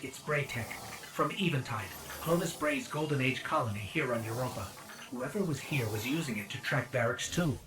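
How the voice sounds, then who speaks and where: A woman's voice: a bass voice, normal speed. A woman voicing a small robot companion in a game, earnest and worried as she reports. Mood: informative. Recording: distant, very loud music behind.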